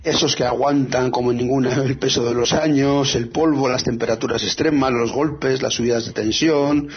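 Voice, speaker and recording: A man co-hosting a radio show about free software, talking in a hesitant, casual way with many fillers and restarts. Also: mid-range voice, steady tone, normal speed, breathy edge, dull audio, soft background music.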